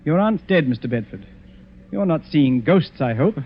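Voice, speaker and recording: An actor playing a cool, courteous titled gentleman, urbane and composed, in an old radio drama. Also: a little high, expressive, normal speed. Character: cool, courteous titled gentleman host slyly laying a trap. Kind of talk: 1940s radio drama acting. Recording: dull, faintly noisy old radio recording.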